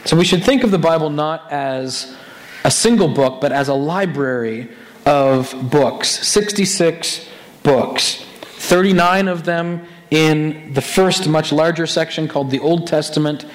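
Male pastor, raspy and hoarse, fairly high voice, chatty sermon delivery full of restarts. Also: a little slow, rough recording. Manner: conversational, animated with many restarts.

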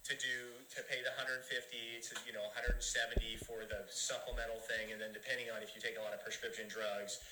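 A man on a talk show guest spot explains insurance plans step by step, upbeat and chuckling now and then. He talks fast in a very bright voice of middle pitch. The studio sounds roomy. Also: flat delivery, a little hiss or hum. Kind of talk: casual explaining.

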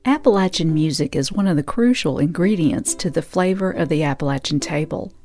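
A woman's voice: low voice, brisk, darkish tone, soft background music. A woman from the United States reading scripted narration as the host of a radio show and podcast.

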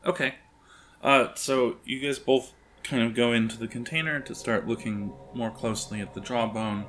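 A man improvising narration as game master of a tabletop game, with a halting, descriptive delivery. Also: mid-range voice, fairly bright tone, a little hiss or hum.

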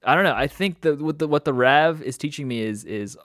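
A man's voice: on the high side, brisk, a bit bright, no echo, studio quality. A young man born in Indonesia chatting casually as a guest, rambling with fillers and restarts and laughing often. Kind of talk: casual conversation.

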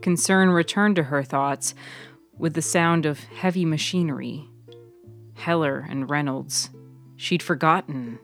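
A woman's voice: low voice, measured pace. A woman narrating a scripted serial fiction story for a podcast, with piano music behind her.

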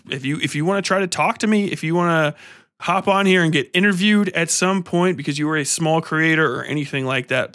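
A man hosting a podcast in a casual, energetic and informal way, chatting freely and swearing now and then. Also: on the high side. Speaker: podcast host and interviewer. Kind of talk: casual podcast chat and sign-off.

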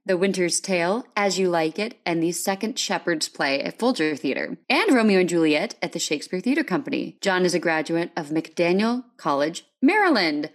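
A woman's voice: lowish voice, expressive, normal speed, few pauses. Woman hosting a conversational podcast. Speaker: podcast host and interviewer.

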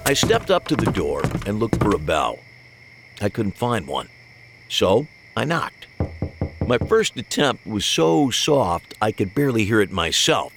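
A man's voice: on the low side, creaky, background noise. A man reading scripted first-person mystery narration with wry, dry humor.

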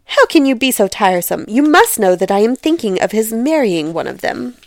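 A woman voicing a fussy, excitable, nagging middle-aged wife with a dramatic, complaining manner in a comic storybook reading. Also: on the high side, a little fast, no echo. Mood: exasperated.

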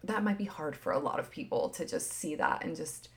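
A woman in her thirties chatting casually and candidly as a podcast host, swinging between emphatic bursts and hushed asides, with lots of filler words. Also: low voice, animated, fairly quick. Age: 30s. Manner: frequent filler "like", candid and swearing. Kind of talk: casual opinion chat.

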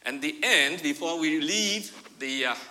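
Bright-toned pastor. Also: male voice, on the high side, lively intonation, measured pace. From Vietnam. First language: Vietnamese. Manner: storytelling, swings from loud emphasis to quiet asides. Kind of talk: sermon with personal story. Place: church sanctuary. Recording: reverberant church hall.

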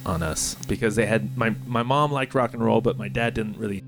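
A man chatting casually as a podcast co-host, animated and swearing freely as he tells stories. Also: fairly low pitch, a little fast, gravelly. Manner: animated, swearing, mimicking others. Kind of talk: casual chat. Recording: music playing underneath.

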